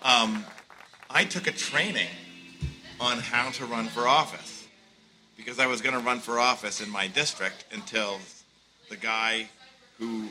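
A man's voice: fairly low pitch, poor audio. A man hosting a comedic talk show, playfully steering the interview. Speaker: host. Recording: music in background.

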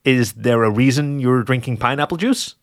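A man's voice: medium pitch, normal speed, no echo, close to an everyday voice. A man voicing a tired, exasperated male jackal with a dry, sarcastic way of questioning in an audio story. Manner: weary, deadpan questioning.

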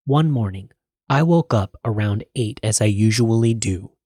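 A man with a fairly deep voice reads a scripted horror story as a podcast host. The sound is dry, with hardly any room reflection around his words. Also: lively intonation, normal speed, dark tone, husky, no echo.

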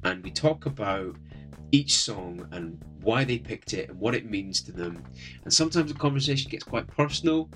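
Soothing-voiced man, relaxed. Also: on the low side, normal speed, faint music behind, poor audio. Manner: relaxed, friendly, gently humorous. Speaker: podcast guest and editor. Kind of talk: casual podcast chat.

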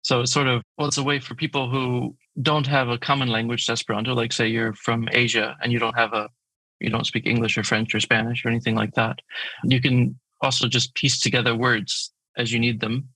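A man's voice: mid-range voice, little pitch movement, a little fast, bright, forceful tone, no echo, poor audio. A man who is a guest on an interview, explaining his subject with enthusiasm and plenty of examples. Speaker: guest, language project founder. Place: remote call from his home city.

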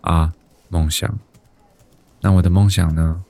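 A man's voice: sits low, steady tone, slow, gravelly, darkish tone, dry recording, exaggerated voice. An actor with a Taiwanese Mandarin accent playing a timid, despondent bank employee in his thirties, narrating his life introspectively in a radio drama. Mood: wistful, resigned. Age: 30s.

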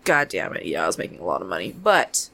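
A woman born in Hawaii and raised in Albuquerque, a podcast guest telling stories in a lively, profane way and laughing often, recorded in a home podcast studio. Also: a bass voice, fast talker, creaky. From born in Hawaii, raised in Albuquerque, New Mexico. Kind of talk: casual storytelling chat.

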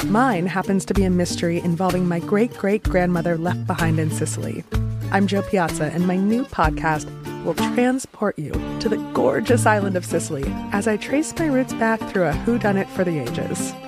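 Fast eager lady reading a promo, loud guitar music behind her. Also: fairly low pitch. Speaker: podcast host in ad. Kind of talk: scripted ad read.

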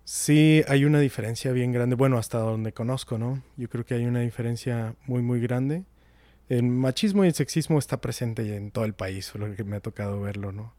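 A man from the Monterrey area of Mexico with a light northern Mexican accent, a podcast guest who talks in a thoughtful, measured way. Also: medium pitch, dark tone, no room sound. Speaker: guest, nonprofit outreach manager. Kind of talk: casual interview chat.

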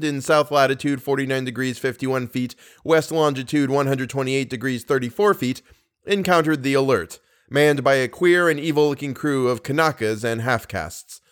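A man who hosts a podcast and reads classic horror fiction aloud, speaking as a narrator and presenter. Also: mid-range voice. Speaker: podcast host and story reader. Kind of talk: casual podcast introduction and audiobook-style narration of a horror story.

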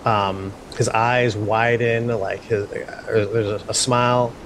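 A man playing a tabletop game, casually describing his character in halting, repetitive, improvised phrases. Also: steady tone, a bit bright. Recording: faint rumble behind voice.

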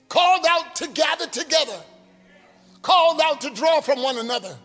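A man preaching a sermon in a rhythmic call-and-response style, building to shouts with a congregation. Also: very high voice. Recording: long hall reverb, faint music.

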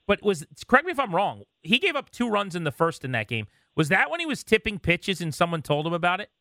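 A man hosting a sports radio interview, talking conversationally and recalling games in an animated way. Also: high voice, fast talker, no room sound, studio quality.

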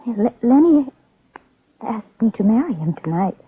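A dazed young heiress in a vintage radio drama. Her voice is high and breathy, halting over words and repeating them as she unravels. It comes through a thin, old narrow-band recording. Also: big pitch swings, exaggerated voice. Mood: confused, halting. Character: fragile, dazed, lonely rich young woman, unravelling. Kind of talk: 1940s radio acting.

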